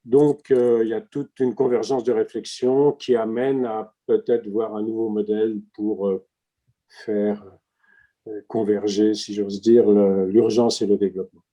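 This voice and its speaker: Low, very dark-voiced man. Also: flat delivery, a little slow. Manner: searching for words, many fillers. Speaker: think tank president, panelist. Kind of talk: webinar self-introduction. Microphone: video call.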